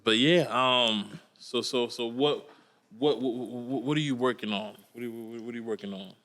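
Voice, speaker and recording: A man's voice: mid-range voice, moderate pace, a bit bright. A man hosting a podcast in casual chat, using loose slang and restarting his sentences often. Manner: loose slang-filled talk with many restarts. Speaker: podcast host.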